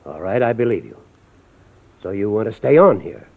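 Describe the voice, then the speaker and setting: Brief: kindly fatherly physician, lively intonation, old radio play, phone-like band. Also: lowish voice, normal speed, creaky, exaggerated voice. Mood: kindly, probing. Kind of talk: 1940s-50s radio drama acting. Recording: tinny old radio recording.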